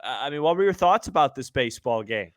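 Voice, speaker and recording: A man's voice: medium pitch, expressive, fast talker, no echo, studio quality. A man co-hosting a sports podcast, giving casual, opinionated and emphatic commentary, often stumbling over repeated words.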